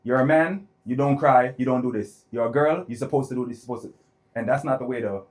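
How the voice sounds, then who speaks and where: A man's voice: medium pitch, a little mellow. A man, a podcast guest telling his own life story in an earnest, reflective way. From born in Florida, grew up in Jamaica. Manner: reflective, earnest, builds points slowly. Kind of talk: personal storytelling in conversation.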